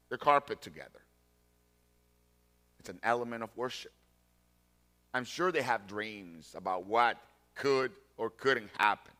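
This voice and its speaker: Pastor, male, 40s; bright, forceful tone, emphatic repetition. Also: mid-range voice. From Colombia. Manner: deliberate, emphatic repetition of key phrases. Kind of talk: sermon.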